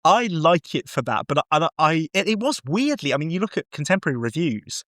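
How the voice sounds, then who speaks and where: Man, slightly high pitch, animated delivery, conversational, podcast discussion, dry recording. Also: brisk, no room sound. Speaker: podcast host. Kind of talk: casual film discussion.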